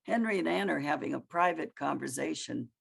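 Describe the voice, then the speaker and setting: Low, very creaky woman narrator. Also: lively intonation, fairly quick. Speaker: narrator reading stage directions. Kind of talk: scripted narration.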